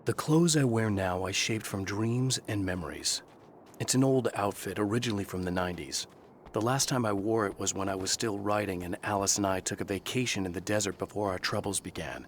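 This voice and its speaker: An actor playing a haunted, weary male writer who narrates a horror game in a low, measured, brooding voice. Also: slight background noise, lightly acted. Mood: reflective.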